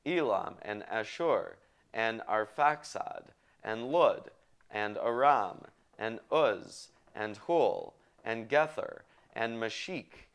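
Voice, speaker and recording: A man hosting a show, reading scripture aloud in a deliberate, list-like way with casual asides. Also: lowish voice, expressive, clean tone. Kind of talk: halting read-aloud of Bible genealogy with casual asides.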